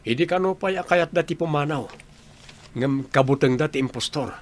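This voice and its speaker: A man's voice: on the high side, moderate pace, lightly acted. An actor with a northern Philippine accent playing an earnest, helpful village man in a conversational radio drama style. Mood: upbeat.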